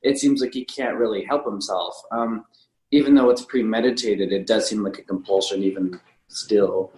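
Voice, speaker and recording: A man's voice: on the low side, fairly even intonation, moderate pace, a little mellow. A man talking casually about a film as a podcast co-host, tentative and often qualifying what he says. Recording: roomy echo on the voice.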